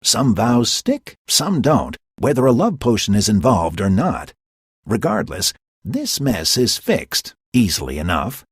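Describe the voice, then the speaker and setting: Man doing a giggly, scatterbrained male sprite, breathy, lilting, storybook. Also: lowish voice, big pitch swings, a little slow, no room sound, clean studio sound, exaggerated voice. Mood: amused. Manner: chatty asides with giggles.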